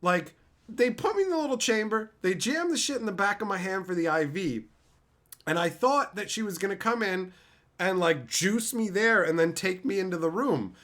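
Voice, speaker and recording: A man with a loud voice chatting casually on a live stream, swearing and laughing often. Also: high-pitched, animated, moderate pace. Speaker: streamer host.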